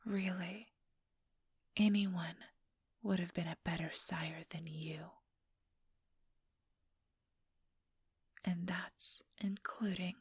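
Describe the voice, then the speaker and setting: A woman playing an ambitious vampire elder in a whispered ASMR roleplay, breathy, deliberate and patronizing, with cool menace. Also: fairly low pitch, fairly even intonation, no room sound, clean studio sound, exaggerated voice. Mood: disdainful.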